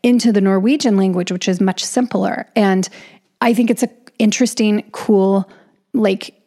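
A woman's voice: mid-range voice, fairly even intonation, normal speed, mellow. A woman hosting a podcast solo, talking casually and conversationally as if thinking aloud. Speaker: podcast host and author.

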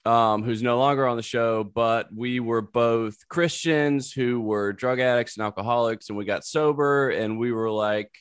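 A man's voice: mid-range voice, measured pace, hardly any pauses, clean tone, no echo, clean studio sound. Wry man hosting a podcast. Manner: wry, self-deprecating. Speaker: podcast host. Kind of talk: casual chat.

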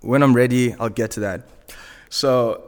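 Chill young zimbabwean guy on a podcast, smooth voice, reverb tail. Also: mid-range voice, fairly even intonation, unhurried. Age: young adult. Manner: laid-back, easygoing. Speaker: podcast guest. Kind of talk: casual storytelling.

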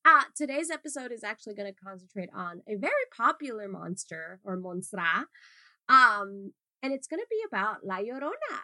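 A woman's voice: mid-range voice, sharp tone, no echo. A woman hosting a podcast, talking casually with fillers and laughing easily. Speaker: podcast host.

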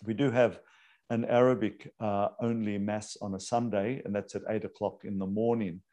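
A priest, explaining unscripted with hesitant fillers and restarts. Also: male voice, lowish voice, little pitch movement, normal speed, dark tone. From Australia.

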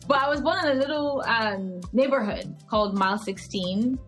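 Cameroonian woman, expressive podcast guest. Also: on the high side, moderate pace, soft background music. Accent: Cameroonian English. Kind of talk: podcast interview, casual chat.

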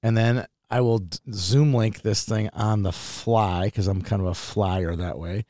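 Low-voiced Minnesotan man chatting casually. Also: steady tone, measured pace, dark tone, dry recording, studio quality. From Minnesota, United States. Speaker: sports podcast co-host. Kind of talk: casual chat.

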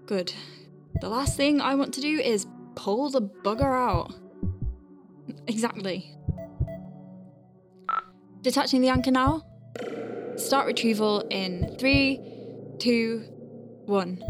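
An anxious woman medic in a science-fiction audio drama. Her voice sits on the high side and she takes her time, wry yet hesitant under pressure. Music runs underneath. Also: takes it slowly, long pauses. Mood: focused. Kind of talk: sci-fi audio drama acting.

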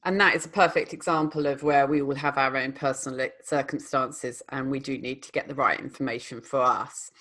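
A woman's voice: extremely low pitch, fairly even intonation, a little fast, few pauses, a bit bright. A woman hosting an interview, originally from outside Australia with a non-Australian accent, chatty and conversational with fillers and asides. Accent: foreign to Australia. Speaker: podcast host.

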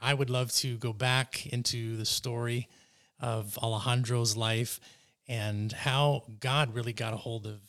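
A man hosting a podcast, speaking at a middle pitch in a relaxed conversational tone. He asks friendly, curious questions and keeps the interview easygoing. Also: a little slow. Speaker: podcast host.

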